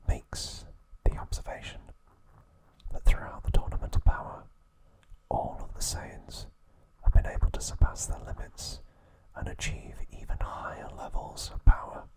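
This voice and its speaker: A man narrating ASMR in a hushed, deliberate whisper, breaking his sentences into short phrases. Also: poor audio. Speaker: ASMR host.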